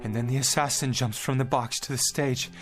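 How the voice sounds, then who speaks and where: An actor in a radio play voicing an agitated, desperate time traveler, urgent and pleading, faltering when dazed. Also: male voice, mid-range voice, fairly even intonation, a little fast, natural voice. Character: desperate, agitated male time traveler trying to avert an assassination. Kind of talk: dramatic radio play acting. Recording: music bed behind the voice.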